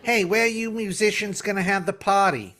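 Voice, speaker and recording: A man's voice: sits high, fairly quick, sharp tone. A man playing a gullible, out-of-work pool buddy in a 1950s-style radio comedy, the wide-eyed straight man. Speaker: radio play actor.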